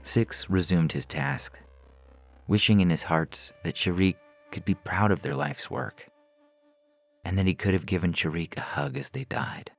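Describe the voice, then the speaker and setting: A low-pitched man reads a science fiction story in a scripted narrating style. Soft music runs underneath his voice. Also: measured pace, vocal fry. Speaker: podcast story reader.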